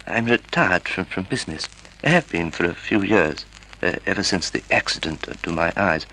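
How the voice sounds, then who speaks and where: An actor playing a timid, polite, half-blind older husband who hesitates and stammers, in an old-time radio drama. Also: male voice, low voice, lively intonation, moderate pace, bright, forceful tone, slight background noise, exaggerated voice, low-quality recording. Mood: hesitant, earnest. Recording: vintage radio drama recording.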